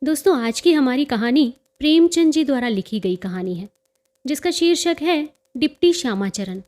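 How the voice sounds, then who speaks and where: A woman's voice: high-pitched, animated, moderate pace, darkish tone. A woman reading a literary story aloud as a scripted audiobook-style narration for her listeners. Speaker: storyteller and channel host.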